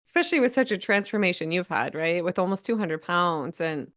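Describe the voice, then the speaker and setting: A woman in her forties hosting a casual interview, enthusiastic and affirming, often ending remarks with a quick "right?". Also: fairly low pitch, expressive, a bit bright. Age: 40s. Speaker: host.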